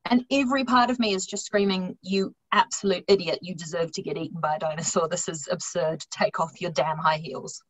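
A woman in her thirties chatting casually and jokingly on a panel, with an animated way of talking. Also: lowish voice, a little fast, hardly any pauses, poor audio. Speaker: podcast panellist. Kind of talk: casual chat. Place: room at home, video call.

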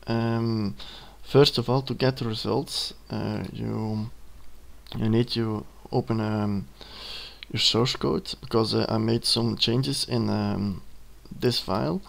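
Hesitant Dutch-accented young man, gravelly. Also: on the low side, steady tone, slow. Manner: hesitant, many ums and uhs, self-correcting. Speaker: student giving a tutorial. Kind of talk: unscripted screen-recorded software tutorial. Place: at a computer, indoors.

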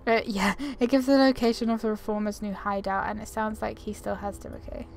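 A woman's voice: mid-range voice, steady tone, a little fast, vocal fry. A woman giving casual, chatty commentary on a game, informal and a little apologetic, sometimes reading its text aloud. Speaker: gaming video creator.

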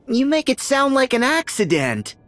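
A man's voice: very high voice, huge pitch swings, moderate pace, a bit bright, faint music behind, exaggerated voice. A man voicing a scrappy, earnest teenage boy hero in a video game, casual and impulsive. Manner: casual, boyish. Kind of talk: animated video game acting.

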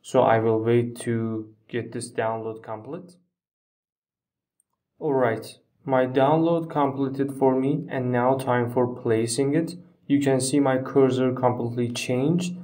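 A man narrating a step-by-step software tutorial in a halting, phrase-by-phrase way with non-native phrasing, recorded on a distant microphone. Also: mid-range voice.

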